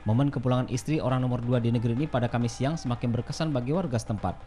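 A man reading a scripted news report as a voice-over in a brisk, formal way. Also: medium pitch, steady tone, warm tone.

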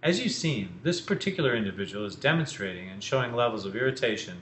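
A man's voice: mid-range voice, animated, fast talker, a bit bright. An older man presenting an educational explainer in a small office. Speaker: presenter, mental health educator. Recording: roomy echo with faint noise.

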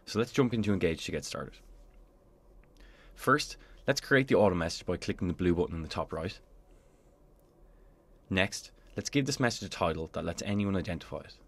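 A man presenting a software tutorial in scripted instructional narration, explaining clearly step by step. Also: low voice, expressive, normal speed, pauses often.

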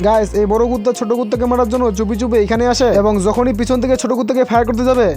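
A man narrates a comic recap in a very high-pitched voice. He rattles through the story at a quick pace, chatting directly to the viewers, with music clearly playing behind him. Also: flat delivery. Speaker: narrator of funny cartoon recap videos. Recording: music bed under the voice. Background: background music.

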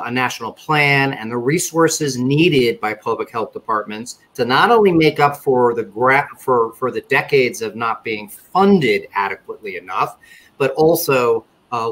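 A middle-aged man giving a webinar presentation on a video call, speaking in a measured way with frequent fillers and restarts. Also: medium pitch, a bit bright, rough recording. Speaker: conference panelist presenting survey results. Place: indoor office or home room.

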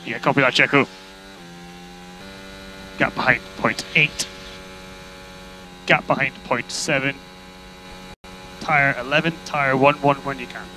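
A man's voice: mid-range voice, steady tone, slow pace, pauses often, bright, forceful tone. A man working as a race engineer, giving terse, repeated instructions over motor racing team radio. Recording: noisy team radio with electrical hum.

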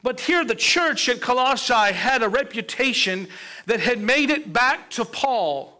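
A middle-aged pastor delivers a sermon in a fairly high voice, stepping around the pulpit and building his points with pauses. His tone is bright and forward. He was recorded by a microphone near the pulpit in a church sanctuary. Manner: preaching with steady build-ups and pauses, gesturing at a pulpit. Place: church sanctuary with a colorful children's stage set.

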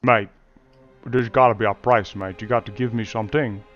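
A man's voice: lowish voice, steady tone, normal speed, muffled recording, soft background music. Wheedling man with a put-on accent. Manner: streetwise, wheedling, ends lines with "mate". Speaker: player in a roleplay server. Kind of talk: in-character game roleplay over voice chat.

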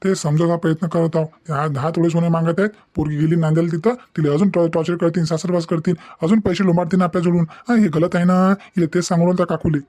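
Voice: medium pitch, steady tone, fast talker, hardly any pauses, a little mellow, no echo, lightly acted. An actor playing a sensible, kindly older village uncle in a cartoon, explaining things in Varhadi Marathi. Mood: firm. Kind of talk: cartoon family drama dialogue.

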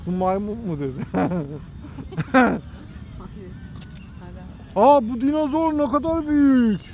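A man's voice: extremely high pitch, expressive, slow pace, a little hiss or hum. Father, casual phone-filmed vlog at an outdoor park; patient, coaxing tone aimed at a toddler. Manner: gently coaxing, points things out to a small child. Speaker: father filming his son. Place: outdoor park with cartoon statues.

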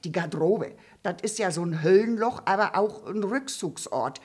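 A woman's voice: medium pitch, lively intonation, moderate pace, darkish tone, husky. A woman voicing a gossipy old female puppet who rambles, stammers and restarts her sentences. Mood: rambling. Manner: stammering, full of fillers and restarts. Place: dark theatre stage.

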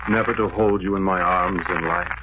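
An actor playing a haunted young soldier who speaks quietly and broodingly in a 1940s radio drama. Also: male voice, low voice, steady tone, fairly quick, close to an everyday voice. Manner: quiet, brooding, intimate delivery. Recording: muffled 1940s radio broadcast with loud hiss.

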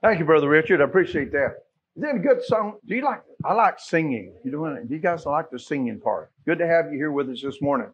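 A man's voice: a little high, lively intonation, quick pace, breathy edge. A middle-aged American man, a pastor speaking informally from the pulpit in a folksy, conversational way, putting questions to his listeners. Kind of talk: informal church announcements from the pulpit. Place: small church sanctuary, at pulpit. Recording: dull, muffled church sound recording.

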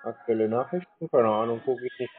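A man on a phone call answering in a dry, laconic, deadpan way. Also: medium pitch, fairly quick, breathy edge, faint music behind. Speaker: prank call recipient. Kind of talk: phone conversation. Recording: tinny phone-like sound.

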